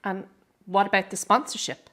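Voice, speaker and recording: A woman acting in a radio drama as a bitter, defiant young circus performer, confrontational, sarcastic and quick to swear. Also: medium pitch, fairly even intonation, pushed and bright. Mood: wary, guarded. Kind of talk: dramatic radio play dialogue.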